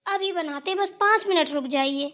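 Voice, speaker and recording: Woman acting a young daughter-in-law, extremely high bright voice, meek, apologetic, excuse-making, village family cartoon. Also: moderate pace. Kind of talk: Hindi family cartoon dialogue.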